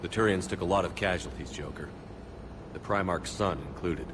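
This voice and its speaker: A man's voice: low-pitched, normal speed, background noise, close to an everyday voice. A man playing a resolute, authoritative space military commander in a video game cutscene. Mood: sombre, measured. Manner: firm, authoritative. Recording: music behind the voice.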